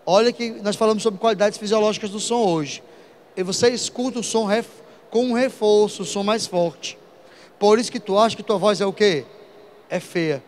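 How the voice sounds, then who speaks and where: A man teaching an exam-prep physics lesson, talking straight to his students with lively asides. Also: sits high, moderate pace, a little hiss or hum.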